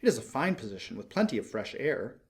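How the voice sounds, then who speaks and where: A man's voice: mid-range voice, sing-song, fast talker. A man voicing a small, vain, fussy swallow in a storybook, blunt and sure of himself. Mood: brisk, cheerful. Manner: blunt, self-assured, fussy. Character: small male swallow, vain, brisk, fickle but polite. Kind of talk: storybook character voice.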